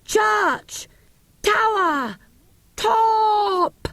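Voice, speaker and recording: A woman voicing a bold, bossy young schoolboy in an audiobook, giving slow, deliberate, emphatic commands. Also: very high-pitched, lively intonation, vocal fry, a bit bright, no echo, pitched above the performer's own voice, exaggerated voice. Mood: loud calling.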